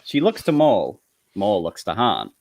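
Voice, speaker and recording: A man's voice: on the low side, wildly swooping pitch, measured pace, creaky, darkish tone. A man reading screenplay stage directions as narrator of a comedy table read, with an amused manner.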